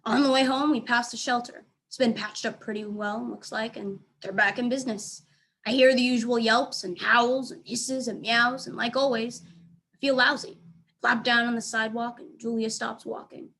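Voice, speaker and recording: A woman reading a children's book aloud as a teacher, in an expressive storytelling style. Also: fairly high pitch, moderate pace, rough recording.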